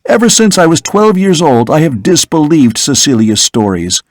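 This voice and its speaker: An agitated young man who pleads and insists with rising passion, as a male narrator puts him on. He sits noticeably higher than the reader's own voice, in a storybook reading. The sound is close and dry. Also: big pitch swings, normal speed, dry recording. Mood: impassioned. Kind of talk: audiobook character reading.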